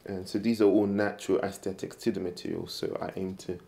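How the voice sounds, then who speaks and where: A man, talking calmly and reflectively about his woodturning work in an interview in his studio. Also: deep voice, fairly quick, vocal fry. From London. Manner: calm, reflective, soft-spoken.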